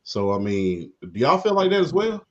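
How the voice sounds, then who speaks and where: A man's voice: medium pitch, very animated, moderate pace. A man hosting a casual sports podcast, laid-back and saying "man" after nearly every phrase. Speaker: podcast host. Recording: dull lo-fi sound.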